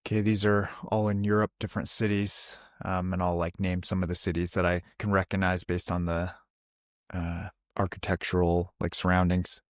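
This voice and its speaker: A man with a fairly low voice talks casually as a player at a tabletop game. He is recorded dry, without noticeable echo. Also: little pitch movement, normal speed.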